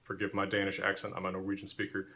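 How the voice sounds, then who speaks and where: Measured professorial man, lowish voice. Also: monotone, sharp tone. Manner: measured, clear, professorial explaining. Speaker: university language lecturer. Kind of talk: explanatory lecture video.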